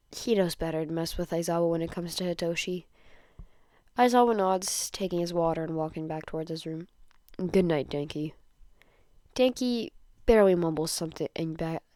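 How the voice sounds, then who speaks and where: A woman reads a story aloud as an amateur narrator, expressive but prone to small stumbles. Her voice sits a little low for a woman, and the sound is dry, with very little room. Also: no echo. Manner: stumbles over words and rereads them. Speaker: podfic narrator. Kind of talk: expressive amateur fan fiction reading.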